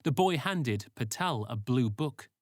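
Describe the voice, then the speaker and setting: Animated story narrator, dry close sound. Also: mid-range voice, unhurried, no room sound. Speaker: author narrating own story. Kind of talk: scripted audiobook narration.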